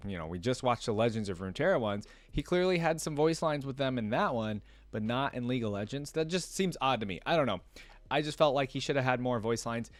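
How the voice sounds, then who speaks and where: Male reaction host, mid-pitched, conversational and rambling, emphatic delivery, very dry close sound. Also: no echo. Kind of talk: casual unscripted commentary to viewers.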